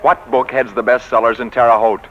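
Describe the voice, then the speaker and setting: A man's voice: mid-range voice, little pitch movement, normal speed, faint music behind, lightly acted. An actor playing an exasperated, blustering male boss of a publishing house in a 1940s radio comedy. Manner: blustery, grumbling. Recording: dull, lo-fi 1940s radio recording.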